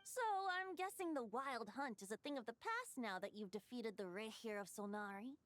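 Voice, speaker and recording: A woman's voice: fairly high pitch, animated, moderate pace, a bit bright, dry recording. A woman voicing a youthful, carefree male bard in a video game, with a light boyish voice and a playful, theatrical storyteller's manner. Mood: playful, admiring. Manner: theatrical, teasing, storyteller's lilt. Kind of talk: animated video game voice acting.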